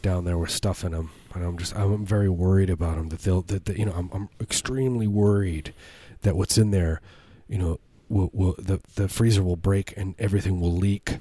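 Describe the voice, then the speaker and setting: Low mellow-voiced guy deadpanning absurd bits on a podcast, rambly, lots of "you know", dry close sound. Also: a little fast, hardly any pauses, no room sound. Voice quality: low baritone. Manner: deadpan, rambling with many fillers. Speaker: podcast co-host. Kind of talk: deadpan absurd comedy banter.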